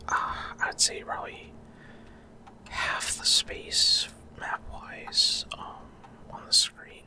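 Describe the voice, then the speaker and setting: A man giving ASMR gameplay commentary in a soft, unvoiced whisper, hesitant and unhurried with trailing pauses. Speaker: ASMR gaming streamer.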